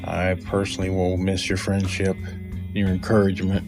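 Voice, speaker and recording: Male tribute host, measured and heartfelt, low voice, eulogy delivered over clear guitar music. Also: monotone. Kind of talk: spoken eulogy, closing thanks.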